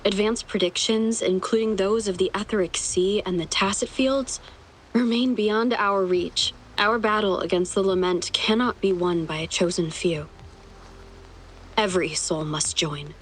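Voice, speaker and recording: A woman playing a solemn, resolute female leader, narrating gravely and earnestly in a scripted video game story. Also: mid-range voice, natural voice, low-quality recording. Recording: steady background noise and ambience.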